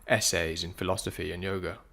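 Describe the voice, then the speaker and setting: A man reads philosophical prose as a solemn, measured narration, pausing deliberately between phrases. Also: sits low, flat delivery, gravelly, sharp tone. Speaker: narrator of a spiritual audiobook. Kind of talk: scripted reading of philosophical prose.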